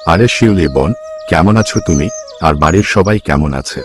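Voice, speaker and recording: A man's voice: sits low, a little fast, loud music behind the voice, lightly acted. A man voicing a sly middle-aged village headman in a cartoon, coaxing with false friendliness. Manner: persuasive, wheedling, falsely friendly. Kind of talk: Bengali cartoon story voice acting.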